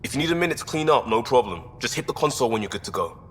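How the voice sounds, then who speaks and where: A man's voice: mid-range voice, big pitch swings, very fast, a bit bright, slight background noise. A man playing an easygoing, joking young spaceship crewmate in casual, wry video game dialogue. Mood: pleased. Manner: wry, informal. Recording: reverberant, faint music underneath.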